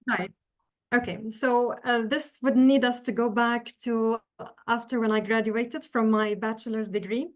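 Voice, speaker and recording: A woman in her thirties from Syria, raised in the Gulf, answering as a podcast guest. She speaks in an earnest, measured way and pauses often while explaining. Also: on the high side, fairly even intonation. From Syria, raised in the UAE. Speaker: guest, engineering professor.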